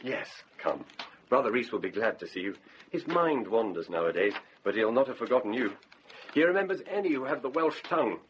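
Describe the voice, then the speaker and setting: An actor playing an amiable, caring monk infirmarer in a radio drama, courteous and conversational. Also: male voice, medium pitch, big pitch swings, a little fast, lightly acted. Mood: friendly concern. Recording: dull, hissy radio drama recording.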